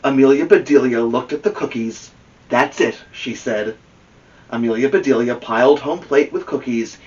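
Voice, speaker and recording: A man reading a children's storybook aloud as host, expressive and engaging with a young listener. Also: mid-range voice, slight background noise. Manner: expressive, pausing to ask a child listener questions. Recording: muffled, distant microphone.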